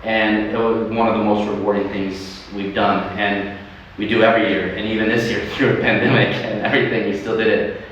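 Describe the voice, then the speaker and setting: A man with a somewhat low voice speaks in an animated way, laughing and restarting his sentences as a livestream guest. He sits back from the microphone, so his voice sounds distant. A strong room echo and faint noise sit behind him. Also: brisk. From United States. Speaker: sports club leader and guest. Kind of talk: livestream interview.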